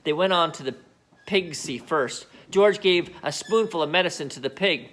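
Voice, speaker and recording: A man reading a children's book aloud as an expressive narrator. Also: a little high, normal speed. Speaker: storybook reader.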